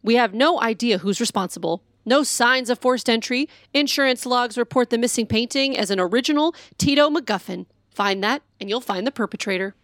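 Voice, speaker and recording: A woman's voice: on the high side, brisk, few pauses, fairly bright tone, dry recording, studio quality, close to an everyday voice. A woman playing an earnest, literal-minded crime scene technician in a comic noir radio play, matter-of-fact and over-detailed.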